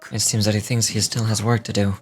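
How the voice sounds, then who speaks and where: Casting: male actor as composed, scholarly game gentleman, grave and formal, over soft music. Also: fairly low pitch, flat delivery, fast talker, natural voice. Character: calm, learned, formal gentleman investigator. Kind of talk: game voice acting.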